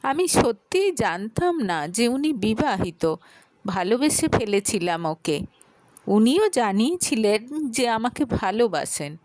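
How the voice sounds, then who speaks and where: Woman acting anxious young student, high and pleading. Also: sing-song, slow, a little mellow, no echo. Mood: tearful, distressed. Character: frightened, pleading pregnant female college student, early twenties. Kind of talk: dramatic audio storytelling.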